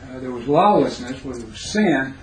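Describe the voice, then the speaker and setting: A man with a raspy, hoarse voice teaching a Bible class, pausing to quiz his students. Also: medium pitch, expressive. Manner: halting, questioning teacher who pauses to quiz the class. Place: classroom, indoors. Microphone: recorder set near the speaker. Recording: roomy classroom recording, faint noise.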